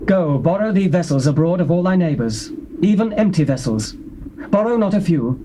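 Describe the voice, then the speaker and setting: A man's voice: sits high, expressive, moderate pace, background noise. An actor playing a stern male prophet who speaks in a solemn, declaiming manner. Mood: instructive. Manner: solemn, declamatory, proclaiming oracles.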